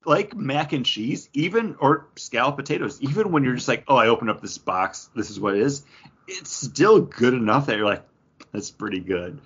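A man's voice: mid-range voice, lively intonation, moderate pace, fairly bright tone, slightly rough. An American man co-hosting a podcast in casual, rambling, anecdotal conversation, repeating himself for emphasis. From western New York, United States. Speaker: podcast co-host. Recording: dull, lo-fi audio.

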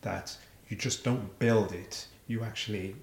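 A man's voice: fairly low pitch, fairly even intonation, a little slow, creaky, echoey room. A man conducting a reflective interview, asking thoughtful questions and backing them with images and his own memories. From family roots in Jamaica. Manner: reflective, uses metaphors, frequent affirmations. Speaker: interviewer, sound engineer. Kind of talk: conversational interview.

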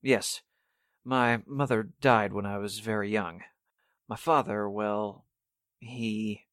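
An actor playing a defensive, earnest heir under questioning in a 1940s-style radio drama, guarded and hesitant. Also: male voice, fairly low pitch, fairly even intonation, takes it slowly, fairly bright tone, no room sound, studio quality. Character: defensive male heir questioned about a murder.

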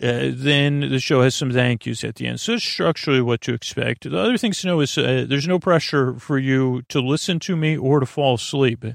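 A man with a creaky voice hosting a bedtime podcast, talking in a soothing, meandering, halting monologue that often interrupts itself. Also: medium pitch, no room sound, clean studio sound. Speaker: host of a bedtime sleep podcast.

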